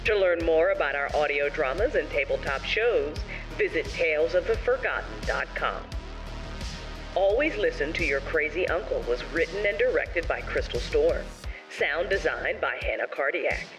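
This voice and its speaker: Male credits announcer, lighter higher voice, scripted read, a little distant with music underneath. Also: animated, moderate pace. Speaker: announcer reading the closing credits. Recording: slightly distant, faint music behind.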